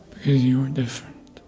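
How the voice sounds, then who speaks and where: A performer voicing a suave, manipulative vampire in a whispered ASMR roleplay, breathy and deliberate, speaking close to the microphone. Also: mid-range voice, warm tone. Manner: slow, deliberate, hypnotic, insinuating.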